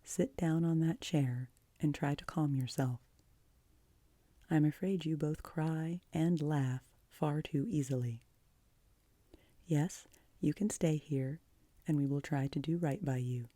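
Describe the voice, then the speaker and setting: A woman reads as a stern, practical older woman from a storybook. The voice is low and slightly husky, firm and disapproving, with curt, matter-of-fact delivery. It sounds dry and close. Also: takes it slowly, pauses often, mellow, no echo. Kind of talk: audiobook character voice.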